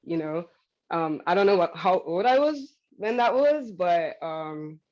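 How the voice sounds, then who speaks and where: A woman's voice: on the low side, animated, a little slow, a little mellow, dull audio. A woman speaking as a panelist who rambles and laughs often between thoughts. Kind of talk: panel discussion.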